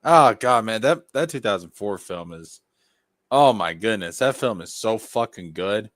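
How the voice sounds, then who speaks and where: Effusive man with a lowish, dry voice. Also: expressive, unhurried, a bit bright, no echo. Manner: effusive, sweary. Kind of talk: casual comic banter.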